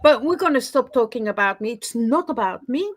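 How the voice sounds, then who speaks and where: A Swiss French woman hosting a casual livestream, chatty and quick to laugh. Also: a little high, lively intonation, no room sound, studio quality. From Switzerland. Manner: chatty, laughs often, with fillers. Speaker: livestream host.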